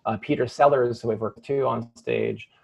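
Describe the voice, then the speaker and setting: Gravelly, husky-voiced young male tenor. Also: mid-range voice, a little mellow. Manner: thoughtful, halting with restarts and fillers. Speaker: opera singer, light lyric tenor. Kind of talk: interview conversation.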